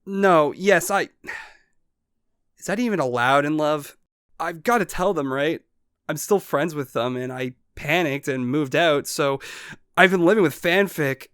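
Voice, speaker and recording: A man's voice: on the high side, unhurried, a bit bright, clear, resonant tone, no echo, clean studio sound. A man voicing an anxious, awkward, lovesick young man in an amateur webcomic dub. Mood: flustered.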